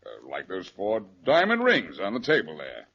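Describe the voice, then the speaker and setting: A man's voice: fairly low pitch, huge pitch swings, normal speed, gravelly, fairly bright tone, breathy edge, full character voice. An actor playing a jaunty, unruffled, wisecracking male adventurer hero in 1940s radio detective drama style. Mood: jolly. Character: wisecracking, cool adventurer hero, sometimes posing as jolly Santa. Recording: noisy, muffled old radio recording.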